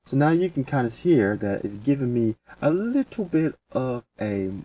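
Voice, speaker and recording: A man presenting a casual software tutorial, talking through each step as he goes in informal, folksy phrasing. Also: mid-range voice, very animated, moderate pace. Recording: distant mic with faint background noise.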